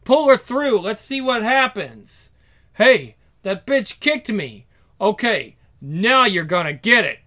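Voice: medium pitch, lively intonation, slow pace, sharp tone, voice pushed up. A performer voicing pushy, taunting young schoolyard bullies in a dramatic story reading. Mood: taunting, menacing.

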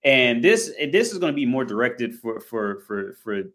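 An American man in his thirties gives an unscripted talk to camera. He rambles, restarts his sentences and leans on fillers, and he swears bluntly and freely. His voice sits at an ordinary middle pitch for a man. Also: big pitch swings, normal speed, fairly bright tone. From United States. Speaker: vlogger. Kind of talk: casual monologue to camera.

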